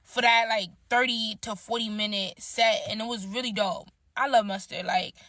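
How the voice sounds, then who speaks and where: A woman chatting in a slangy, animated way as she recaps a concert in a casual vlog. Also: on the high side, moderate pace, dry recording, studio quality. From Nigerian heritage.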